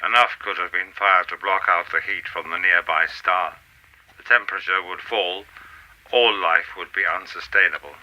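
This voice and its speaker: A man playing a cold, commanding alien in a radio play, speaking in a formal, measured, imperious way. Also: sits low, fairly even intonation, sharp tone, rough recording. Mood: measured, ominous. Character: cold, commanding alien ruler secretly breeding with humans.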